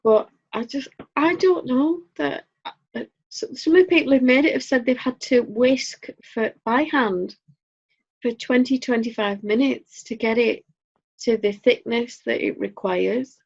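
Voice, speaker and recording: A woman's voice: on the high side, measured pace, creaky, husky. A woman with a Northern English accent co-hosting a casual chat over a video call, rambling and dry-humored. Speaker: co-host. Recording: dull lo-fi audio.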